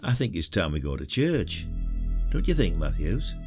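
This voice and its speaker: A man's voice: deep voice, sing-song, moderate pace, a little mellow, faint music behind. An actor playing a weary, blunt older retired detective in an audio drama, brooding and old-fashioned in his wording. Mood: dogged, wary.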